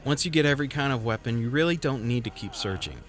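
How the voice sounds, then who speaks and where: A man's voice: medium pitch, lively intonation, fast, slight background noise. A man reading a scripted video game review voice-over in a wry, conversational way, with self-deprecating asides.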